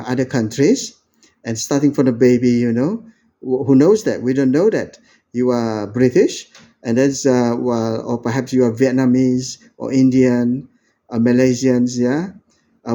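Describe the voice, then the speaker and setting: Indonesian-born guy lecturing, lots of uh and you know, mellow voice. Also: mid-range voice. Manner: rambling, full of fillers and "you know"; acts out quoted speech in a raised voice. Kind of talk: informal lecture.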